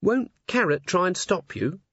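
A man's voice: sits high, animated, a little slow, fairly bright tone, husky, no echo, voice pushed up, exaggerated voice. A man voicing a shy, nervous young female dwarf who stammers and hesitates. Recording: slightly dull lo-fi audio.

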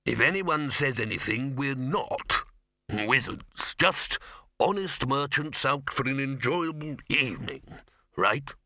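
Guy doing a bossy old wizard, slow drawl, deadpan and a bit scornful, audiobook. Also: mid-range voice, no echo, clean studio sound, heavily acted. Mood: conspiratorial, bossy. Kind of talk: audiobook character acting.

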